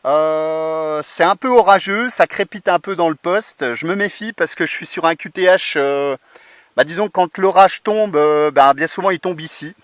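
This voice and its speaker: A man talks in a slightly high voice with very little room sound around it. He speaks casually and haltingly, like a radio hobbyist passing the microphone back and forth from an outdoor station. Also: fairly bright tone, no echo, studio quality. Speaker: amateur radio operator. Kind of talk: casual radio contact chat.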